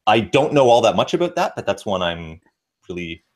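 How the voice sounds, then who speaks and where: Canadian man with low, easygoing voice. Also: sing-song, a little fast. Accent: Ontario Canadian. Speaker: guest. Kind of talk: casual chat. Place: home room with bookshelves. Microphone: headset on a video call.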